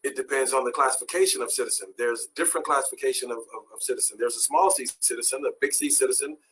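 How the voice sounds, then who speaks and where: A man's voice: mid-range voice, fast talker, fairly bright tone, slight background noise, poor audio. A man who is a guest on a talk show, explaining his views in an assertive, lecturing way.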